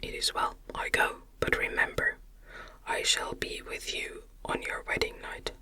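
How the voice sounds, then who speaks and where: A narrator voicing a wretched, vengeful male monster from a gothic story in a smothered, breathy ASMR whisper, with menacing, grandiose, archaic speech. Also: unhurried, bright, forceful tone. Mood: ominous.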